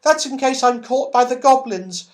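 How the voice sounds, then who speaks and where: A man's voice: very high voice, normal speed, pitched above the performer's own voice. A man voicing a small, eager, brave red squirrel in a children's storybook. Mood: eager, earnest.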